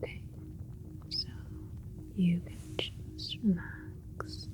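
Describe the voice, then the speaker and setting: A woman playing a soothing, clinical alien scientist in an ASMR roleplay, whispering with slow, deliberate, precise wording. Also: music in the background. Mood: soothing, reassuring.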